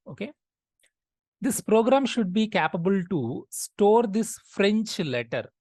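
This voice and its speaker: A woman's voice: deep voice, darkish tone, dry recording. A woman teaching a programming lesson, explaining step by step and repeating key phrases. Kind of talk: lecture.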